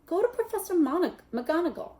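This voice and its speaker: A woman's voice: very high-pitched, very animated, brisk, dark tone, pitched above the performer's own voice. A woman reading a storybook aloud as a bookish, bossy young schoolgirl witch, breathless, emphatic and impatient. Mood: urgent.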